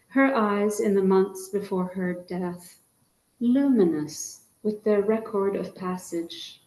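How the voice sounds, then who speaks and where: A woman from Trinidad reading her poems aloud in a measured way, her line endings often dropping soft. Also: medium pitch. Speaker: featured poet. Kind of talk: poetry reading. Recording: echoey room.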